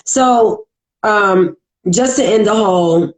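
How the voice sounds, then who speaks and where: A woman with a heavy, raspy voice and a congested throat, hosting a casual live podcast chat, chatty and full of "like" and "um", often clearing her throat. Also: mid-range voice, slow, rough recording. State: congested from allergies. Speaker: podcast host. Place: at home in Virginia.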